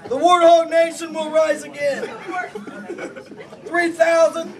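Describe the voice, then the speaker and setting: Middle-aged man, loud roast-style toast, high strained voice, slow, distant phone over party crowd. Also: little pitch movement. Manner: rowdy, shouting over the crowd, laughing through lines. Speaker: wedding toast giver. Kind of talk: comic roast-style toast read from cards. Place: indoor party venue, crowded. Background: party crowd chatter and laughter.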